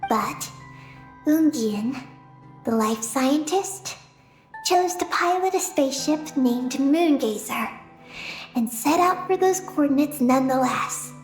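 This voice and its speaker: A woman playing an earnest, determined young girl on a mission in a video game, speaking haltingly and solemnly. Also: high-pitched, animated, echoey room, faint music behind, full character voice.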